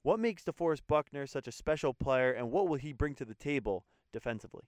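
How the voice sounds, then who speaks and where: A young podcast host from New Jersey asks his prepared questions quickly in a smooth, clear voice. The sound is close and dry, with no room around it. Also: mid-range voice, expressive, dry recording. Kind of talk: scripted interview questions.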